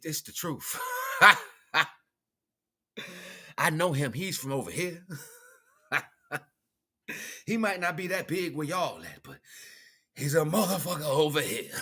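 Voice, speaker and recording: Bright-voiced American man, informal spiritual monologue. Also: a little high. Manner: animated, colloquial, preaching with repeated phrases. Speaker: livestream host.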